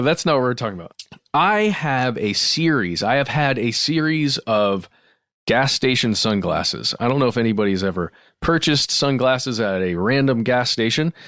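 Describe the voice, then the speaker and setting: A man's voice: mid-range voice, fairly bright tone, no echo. Male co-host, playful casual storyteller with very varied intonation and a dry recording. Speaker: podcast host. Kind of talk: casual anecdotal chat.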